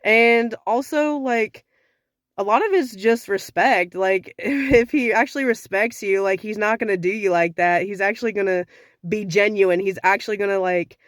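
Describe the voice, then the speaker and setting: A young woman with a slightly raspy voice from sinus trouble, giving frank dating advice in a casual talk to camera. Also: medium pitch, normal speed, no room sound, clean studio sound. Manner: frank, conversational, sassy.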